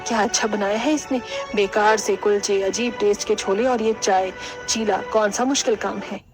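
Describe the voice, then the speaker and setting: A woman's voice: mid-range voice, fairly even intonation, normal speed, music in the background, close to an everyday voice. A woman voicing a haughty, scornful middle-aged mother-in-law in a cartoon, proud of being fashionable. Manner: scolding, disdainful.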